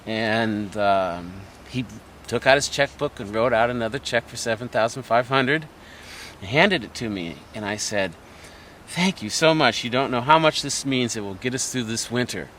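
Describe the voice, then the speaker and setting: A middle-aged man, a sculptor, chatting and telling a long anecdote in a relaxed interview. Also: mid-range voice, normal speed, slight background noise. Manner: chatty anecdotal storyteller, laughs often. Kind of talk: casual storytelling interview.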